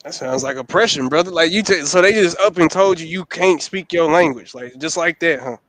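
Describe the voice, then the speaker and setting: A man on a call-in stream jumps in bluntly with direct questions. His voice is fairly high, and it comes through dry. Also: fairly bright tone, dry recording. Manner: blunt, curses, quick interjections. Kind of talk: casual chat.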